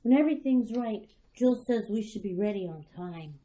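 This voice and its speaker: A woman's voice: medium pitch, animated, normal speed, warm tone, natural voice, low-quality recording. A woman playing an eager young girl in an amateur radio drama, chirpy and matter-of-fact.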